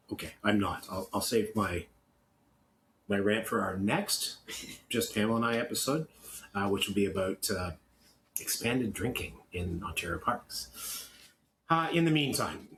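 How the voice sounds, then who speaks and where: A Canadian man co-hosting a podcast in casual, opinionated chat, blunt and grumbling, often halting and repeating words. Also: fairly low pitch, expressive. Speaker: podcast co-host. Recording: clear background noise.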